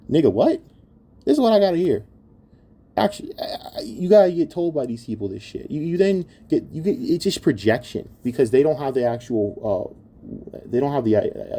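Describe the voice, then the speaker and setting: A man's voice: mid-range voice, dark tone. A man giving an opinionated commentary rant in a blunt, exasperated and scornful manner, swearing heavily. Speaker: online commentator.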